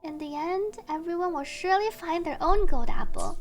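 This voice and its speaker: A woman livestreamer reading game text aloud and adding chatty asides, with game music behind her. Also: very high voice. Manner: reads story text slowly, then chatty asides. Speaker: streamer, VTuber.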